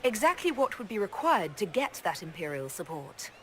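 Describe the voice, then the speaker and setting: An actress playing a cool, direct elven heroine in a fantasy game, speaking dryly. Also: female voice, mid-range voice, big pitch swings, normal speed, slight background noise, natural voice. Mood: cool, determined. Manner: direct, dry, unimpressed. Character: cool, blunt young elven heroine leading an order. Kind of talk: video game cutscene acting.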